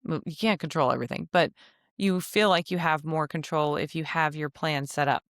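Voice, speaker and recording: A woman hosts her own podcast in a relaxed, chatty way, tossing in jokes and laughing easily. Her voice sits a little low and sounds smooth and clear. The sound is dry and close to the mic. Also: moderate pace, no echo. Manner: chatty, joking asides, frequent laughs. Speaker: podcast host and travel agency owner. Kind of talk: casual solo podcast monologue.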